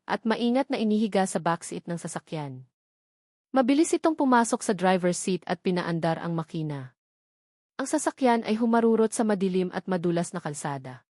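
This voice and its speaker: Woman, storyteller, scripted dramatic narration, mid-range pitch, close dry recording. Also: darkish tone, no echo.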